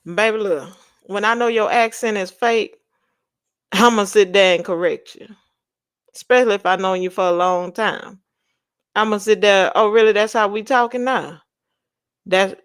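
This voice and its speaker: A woman's voice: mid-range voice, clean tone, no echo, studio quality. A young woman from Texas with a Louisiana-tinged accent, chatting bluntly and sassily with viewers on her livestream. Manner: sassy, blunt, laughing, slangy storytelling. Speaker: livestream host. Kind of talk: casual chat with live viewers.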